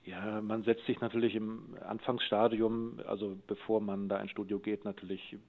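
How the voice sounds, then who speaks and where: A man's voice: fairly low pitch, flat delivery, normal speed. A middle-aged man speaking conversationally as an interviewed voice actor, telling anecdotes over a thin phone-like line.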